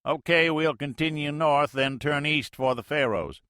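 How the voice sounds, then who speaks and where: A man's voice: fairly high pitch, moderate pace, fairly bright tone, clean tone, no echo, clean studio sound, pitched above the performer's own voice, lightly acted. A man reading an audiobook, voicing a Soviet Army major who fakes a folksy put-on Mississippi drawl over a ship's radio. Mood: folksy bluster. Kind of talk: audiobook character voice.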